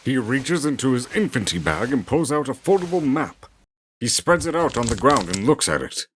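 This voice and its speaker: A man's voice: mid-range voice, sing-song, moderate pace, a little hiss or hum. A man narrating an audio drama with dramatic scripted storytelling delivery. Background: music.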